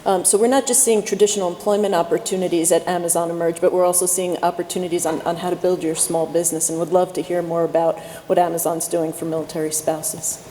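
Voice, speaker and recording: A woman's voice: lowish voice, level tone, fast, few pauses, mellow, a little hiss or hum. A woman moderating a conference panel, speaking with enthusiasm and a rallying tone. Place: conference hall. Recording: echoey hall, faint ambience.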